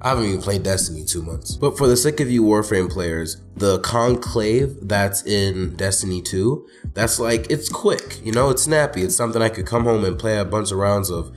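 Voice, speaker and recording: A young man with a lowish voice, a bit hoarse from being sick, talking in a casual, slangy, joking way about games. Music plays behind him. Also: expressive, normal speed, hardly any pauses. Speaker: gaming YouTuber. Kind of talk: casual commentary video. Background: background music.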